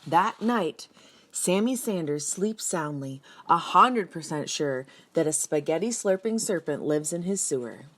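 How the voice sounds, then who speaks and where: A woman reads a picture book to young listeners in a slightly low voice. She takes her time, speaking very slowly and addressing the children directly. Her storytelling is expressive, with dramatic emphasis on key words. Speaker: children's read-aloud reader.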